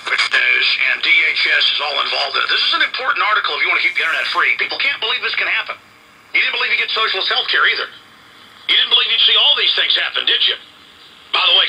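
A man with a gravelly voice delivering an agitated, emphatic talk radio rant, heard from a shortwave radio speaker. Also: on the high side, pushed and bright, low-quality recording. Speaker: political talk radio host. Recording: harsh shortwave radio speaker, heavy static.

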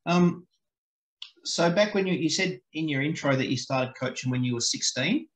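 A man's voice: a little high, animated, moderate pace. Man with a headset in an echoey room. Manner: friendly, informal, says mate, hesitant fillers. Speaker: podcast host. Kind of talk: casual video-call interview. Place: home office indoors. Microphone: headset on a video call.

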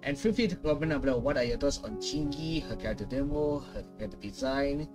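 A man's voice: medium pitch, animated, fast talker, over music. A man in his twenties giving casual reaction commentary, often hesitant as he thinks aloud. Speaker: gaming reaction video host. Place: small room at home.

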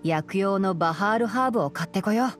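A woman playing a young male forest ranger in a Japanese anime game, calm and studious, explaining things in a measured way. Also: mid-range voice, soft background music, natural voice. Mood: gently firm. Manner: measured, matter-of-fact explaining.

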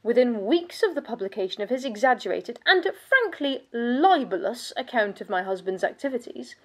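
An actress playing a bitter, world-weary aristocratic vampire countess in a theatrical monologue, pompous and sardonic in manner. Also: female voice, on the high side. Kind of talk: scripted dramatic monologue.

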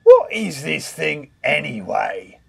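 A reader doing a loud boastful wolf. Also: medium pitch, big pitch swings, slow pace, gravelly, husky, voice pushed up, exaggerated voice. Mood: scheming. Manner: shouting, boastful. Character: boastful bullying big bad wolf pilot.

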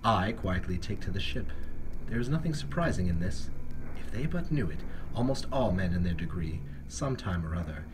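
A man with a fairly low voice reads classic literature aloud in a theatrical, halting way, moving between hushed drops and swells. Clear noise runs behind him in an amateur home recording. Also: animated. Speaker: reader. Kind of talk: dramatic audiobook reading of a classic novel.

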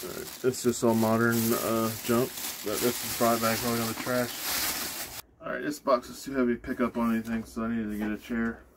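A man's voice: medium pitch, flat delivery, a little fast. Offhand man sorting boxes, noisy mic. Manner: offhand, thinks aloud with fillers. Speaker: storage-unit reseller. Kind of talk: casual narration while unpacking. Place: inside a cargo trailer with boxes. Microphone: body-mounted camera. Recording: noisy camera audio.

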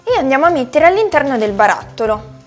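A woman's voice: high voice, very animated, fast, over loud music. Chatty young woman explaining a recipe. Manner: chatty and explanatory, with frequent fillers. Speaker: cooking channel host. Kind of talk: recipe tutorial, talking to camera. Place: home kitchen, indoors.

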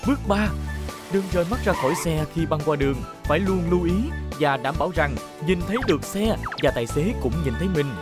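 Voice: medium pitch, expressive, fast talker, natural voice. An actor voicing a kind, responsible robot police car in a dubbed children's cartoon, speaking in an earnest, instructive and reassuring way. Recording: music behind the voice. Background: clear background music.

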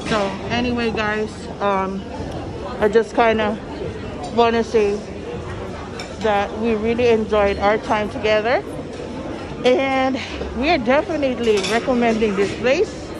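A woman with a high voice talks unhurriedly to her phone as she films a casual vlog. She is chatty and enthusiastic, repeating phrases as she goes, with the loud chatter of a big restaurant around her. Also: fairly even intonation, measured pace. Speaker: vlogger. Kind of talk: casual vlog commentary while touring a buffet. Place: large busy buffet restaurant, indoors. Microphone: handheld phone camera. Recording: busy indoor restaurant crowd and music. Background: diners' chatter and background music.

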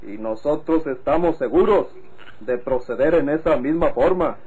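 An actor playing a calm, courteous rural official in an old radio drama, with a Mexican accent. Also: male voice, a little high, normal speed, husky, rough recording.

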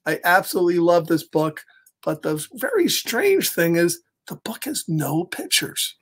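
A middle-aged American man hosting a children's story time, reading a picture book aloud with chatty asides in an animated, exaggerated way. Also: sits high, measured pace, slight background noise. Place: small home office, indoors.

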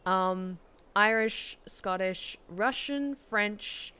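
A woman's voice: medium pitch, extremely slow, sharp tone, clean tone. A young Australian woman, a streamer and voice actor, chatting casually while playing a game with lots of fillers. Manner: chatty, many fillers, rambling. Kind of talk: casual chat while gaming. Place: small room indoors.

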